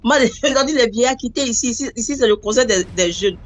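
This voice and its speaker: A woman telling a personal story in a rambling, animated way, acting out the voices she quotes. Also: a little high, fast talker. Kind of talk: casual spoken storytelling.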